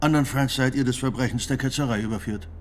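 An actor in a radio drama playing a stern church judge who interrogates a prisoner in a formal, insistent, authoritative way. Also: male voice, mid-range voice, quick pace, slight background noise, lightly acted. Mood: stern, official.

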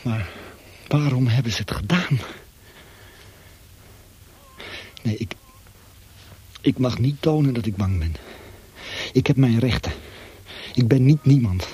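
An actor playing a terrified imprisoned man in a Dutch radio play, reasoning with himself in a halting, hushed monologue with a breathy voice. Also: medium pitch, animated. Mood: anxious.

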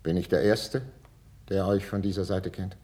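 A man's voice: low-pitched, level tone, normal speed, dark tone, natural voice. An actor playing a stern, suspicious king in a classical radio drama, speaking with weighty, formal authority. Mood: wary, sardonic. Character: stern, commanding king, measured, suspicious, majestic. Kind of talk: 1950s German radio drama, classical verse acting.

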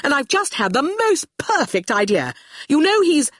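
A woman's voice: high-pitched, huge pitch swings, normal speed, no echo, clean studio sound, pitched above the performer's own voice. A woman voicing a theatrical, imperious younger brother in a storybook, breathless and impatient. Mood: excited.

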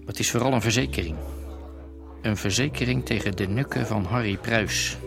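An actor playing a shrewd, calculating underworld moneylender in a radio drama, measured and cool. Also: male voice, fairly low pitch, gravelly. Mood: calm, calculating. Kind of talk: Dutch radio drama acting. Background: music.

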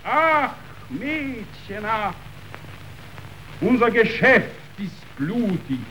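High-pitched, theatrical meat magnate. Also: male voice, very animated, drawn-out words, pushed and bright, heavily acted. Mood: theatrical, shaken. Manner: declamatory, wheedling, self-pitying. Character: ruthless meat magnate, grandiose, sentimental, self-justifying. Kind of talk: theatrical verse radio drama. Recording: dull radio recording, faint noise.

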